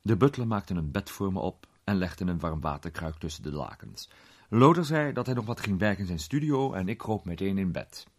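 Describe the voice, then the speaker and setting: Low, husky-voiced curious amateur sleuth. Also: male voice, lively intonation, fairly quick. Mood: intrigued, suspenseful. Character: curious male amateur sleuth recounting a suspenseful night adventure. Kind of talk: radio drama narration, first-person mystery storytelling.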